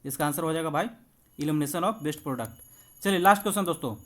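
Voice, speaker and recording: A man's voice: fairly high pitch, moderate pace, a bit bright. A man coaching exam students, explaining quiz answers in an instructive way and addressing viewers familiarly. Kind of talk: quiz walkthrough lesson with explanations.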